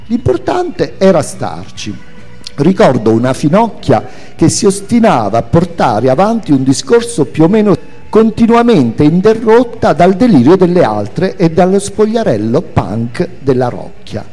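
A man reading a memoir aloud as its author, in an expressive, theatrical storytelling manner. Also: on the high side, measured pace. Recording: echoey hall with music. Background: music.

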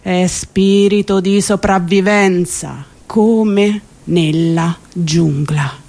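An actress playing an exasperated, sardonic commuter worn down by city life, in a theatrical radio monologue with ironic, emphatic delivery. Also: female voice, lowish voice, fairly even intonation, very slow, darkish tone. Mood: indignant, bitter. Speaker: stage actress.

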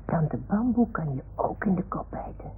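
Childish toy dog, tinny and husky. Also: female voice, on the low side, expressive, normal speed. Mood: hushed, fearful. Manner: childlike, questioning, seeking approval. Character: childish toy dog, naive, chatty, easily worked up. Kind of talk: 1960s-70s Dutch radio drama acting. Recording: muffled, phone-like old radio recording.

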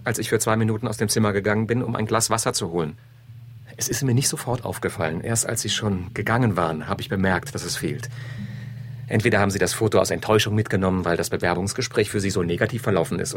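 A man's voice: lowish voice, slight background noise, close to an everyday voice. An actor playing a stiff, proud human-resources manager in a radio drama, formal and accusatory in his delivery. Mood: stern, accusing. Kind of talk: modern radio drama acting.

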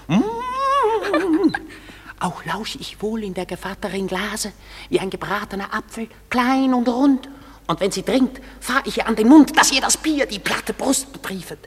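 Impish goblin servant, raspy voice, gleeful theatrical verse, radio drama, long hall reverb. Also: female voice, medium pitch, heavily acted. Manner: gleeful, theatrical swings while telling of pranks. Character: mischievous goblin prankster, servant of the fairy king. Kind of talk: classic radio play in verse.

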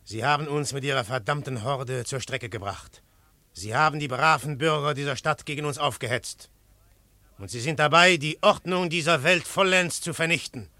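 An actor narrating a radio play as a hunted male detective, husky and grave. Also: a little high, moderate pace, sharp tone. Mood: defiant. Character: hunted male detective telling his story. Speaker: first-person narrator and detective Syme.

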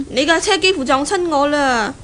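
Earnest high-voiced young bride-to-be. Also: female voice, moderate pace, fairly bright tone. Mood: startled, indignant. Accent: Hong Kong Cantonese. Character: polite, earnest young bride-to-be, university graduate daughter. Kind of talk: 1970s Cantonese radio drama acting. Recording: 1970s radio recording, faint noise.

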